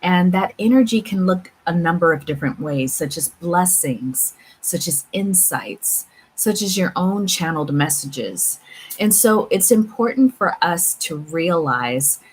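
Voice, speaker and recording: A woman hosting a tarot channel, talking casually and reflectively to viewers about spiritual matters, with halting pauses and repeats. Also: lowish voice, poor audio. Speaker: tarot reader and channel host. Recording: clear steady background room noise.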